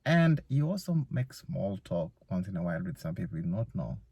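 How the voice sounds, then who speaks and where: A man's voice: low voice, big pitch swings, a little fast, warm tone, slightly rough, no echo. A young man from Uganda, talking casually to camera in a loose vlog full of "you know" fillers. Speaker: vlogger. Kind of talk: casual solo vlog monologue.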